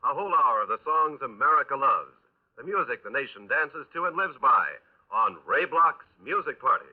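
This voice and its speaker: A man announcing in the style of 1950s network radio, with a husky voice. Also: medium pitch, animated, moderate pace. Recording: tinny old radio recording with music behind.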